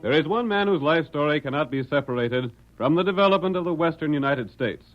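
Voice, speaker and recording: A man's voice: fairly high pitch, expressive, brisk. Male golden-age radio narrator, theatrical western storytelling, muffled recording with music behind. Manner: grand, theatrical delivery. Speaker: announcer and narrator. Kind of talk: 1930s-40s radio announcing, scripted dramatic narration.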